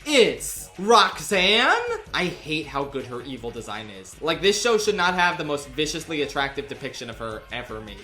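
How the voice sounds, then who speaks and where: Young man, sarcastic reviewer, bright voice, roomy echo, faint music behind. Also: a little high, sing-song, normal speed. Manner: sarcastic, exasperated asides. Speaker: video reviewer. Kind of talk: scripted comedic review narration.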